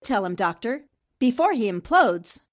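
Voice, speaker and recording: A woman plays a clever female newspaper reporter from an old-time radio mystery. Her voice sits fairly high. She speaks with poise and deliberate confidence. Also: big pitch swings. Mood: playfully confident. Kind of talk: 1940s-style radio drama acting.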